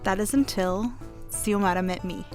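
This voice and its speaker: An actress playing a songstress in a western radio play, telling her love story with measured, dramatic phrasing. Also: medium pitch, fairly even intonation, darkish tone, faint music behind, natural voice. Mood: narrating, fond. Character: Aleta, beautiful songstress and devoted sweetheart narrating her western love story. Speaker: narrator and character. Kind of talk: western radio play narration and acted dialogue.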